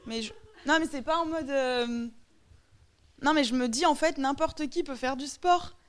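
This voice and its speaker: Young French woman student, slightly high-pitched, nervous and hesitant in a group debate. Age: young adult. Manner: nervous, halting, many fillers. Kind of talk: classroom debate.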